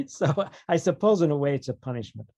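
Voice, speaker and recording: A man with a slightly high voice speaks as a guest author in a casual interview. He explains his views in an opinionated, wryly joking way. The sound is dry, with no room echo. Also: expressive, fairly quick, mellow.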